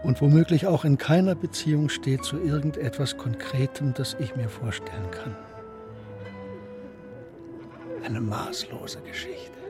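Haunted male suspect for a radio play: mid-pitched, halting, melancholy poetic delivery with clear music behind. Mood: brooding, resigned. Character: brooding, haunted male suspect under interrogation.

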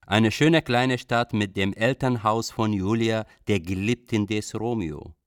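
A man's voice: lowish voice, measured pace, smooth, no room sound, clean studio sound, close to an everyday voice. An actor playing an Iraqi traveller who recalls his journey in reflective literary radio drama narration.

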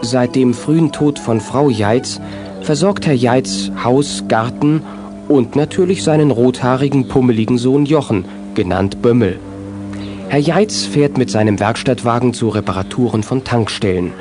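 A man's voice: mid-range voice, normal speed. Male storyteller narrating over music. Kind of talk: scripted narration of a children's audio drama.

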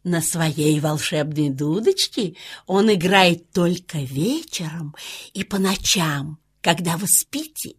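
A woman's voice: fairly low pitch, expressive, slow pace, slightly rough, full character voice. A woman voicing a kindly auntie squirrel who tells tales with rapturous expression in a children's audio play. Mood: gentle.

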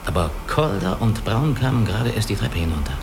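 An actor in a radio drama playing a guarded, analytical spaceship crewman who speaks deliberately and reasons step by step. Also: on the low side, animated, noisy background. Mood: confiding, measured. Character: guarded, analytical male spaceship crewman hiding his identity. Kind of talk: German radio play dialogue.

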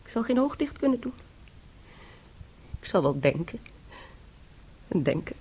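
An actress playing a weary, polite young niece in a radio play, subdued and husky. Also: female voice, mid-range voice, big pitch swings, unhurried, warm tone, heavily acted. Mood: exhausted, troubled. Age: young adult.

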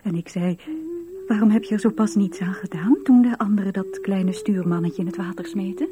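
An actress playing an impulsive eighteen-year-old girl in love in a radio play, breathy and a little hesitant. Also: medium pitch, fairly even intonation, brisk, lightly acted. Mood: excited, confiding. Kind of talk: radio drama recollection and dialogue.

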